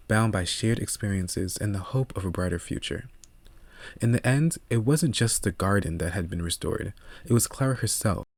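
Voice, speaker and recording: A man reading scripted audiobook narration in a measured, earnest way, close to the microphone. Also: lowish voice, dry recording.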